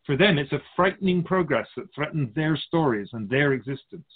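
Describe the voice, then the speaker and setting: A man playing a wise, patient otherworldly keeper of souls in a radio drama, amused, reassuring and philosophical in measured phrases. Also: a little high, lively intonation, slightly rough, phone-quality sound. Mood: grave, explanatory. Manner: measured, philosophical, musing, addressing humanity as an outsider.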